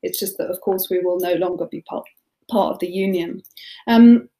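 A woman's voice: lowish voice, moderate pace, warm tone. A middle-aged woman moderating a panel over a video call, thoughtful and hesitant with many restarts. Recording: echoey room on video call.

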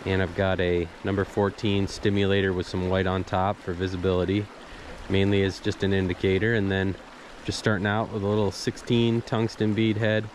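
A man's voice: low-pitched, level tone, normal speed. A man casually narrating his fly fishing trip outdoors beside a small snowy creek, explaining his gear step by step. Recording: audible stream water noise behind. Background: running creek water.

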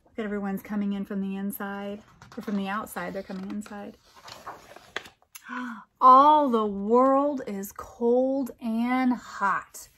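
A middle-aged American woman reads a picture book aloud, adding expressive, wondering comments for young listeners. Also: medium pitch, slow, a little hiss or hum. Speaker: storytime reader and teacher.

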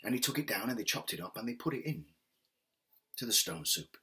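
A middle-aged man with a husky, breathy voice telling a story expressively and without hurry, with dramatic pauses. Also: mid-range voice, animated, bright, forceful tone, a little hiss or hum. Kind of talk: oral storytelling for families. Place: indoors, small wood-panelled home room. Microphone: webcam at desk distance.

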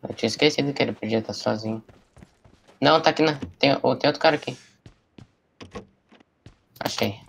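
A young man, chatting casually and excitably while streaming a shooter game, repeating words and joking. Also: medium pitch, fairly quick, gravelly, pauses often, slight background noise. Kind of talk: casual gaming chat.

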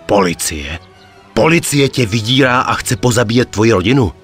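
A man's voice: mid-range voice, expressive, a bit bright, breathy edge, soft background music. A man playing a terse, grave mafia enforcer with a conscience in a dubbed video game. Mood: reproachful. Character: stern, conflicted male mafia enforcer with a conscience.

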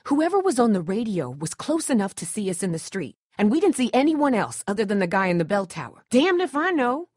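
A woman's voice: mid-range voice, very animated, brisk, hardly any pauses, darkish tone, no echo, studio quality. A woman acting a tough, distrustful and protective survivor in a dramatic video game, blunt, sardonic and guarded. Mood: suspicious, sharp, then wary, bitter.

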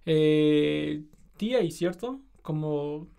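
A man's voice: on the high side, animated, drawn-out words, creaky, mellow, clear, resonant tone. Friendly male student podcast host. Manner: friendly, lots of fillers like "eh" and "este". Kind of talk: podcast interview.

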